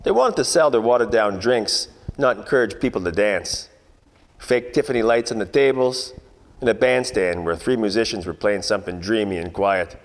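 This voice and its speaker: An actor playing a world-weary, wisecracking 1940s private detective, narrating in hardboiled radio-play style with deadpan asides. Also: male voice, fairly low pitch, moderate pace, long reverb tail, slight background noise, natural voice. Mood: jaded, wry. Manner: wry, colloquial, deadpan asides.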